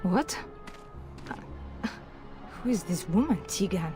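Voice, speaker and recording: A woman playing a haughty but frightened noblewoman and mother in a video game, pleading in halting, dramatic speech. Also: mid-range voice, lively intonation, warm tone, faint music behind. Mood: urgent, guarded. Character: distraught, pleading noblewoman, wife and mother, haughty when challenged.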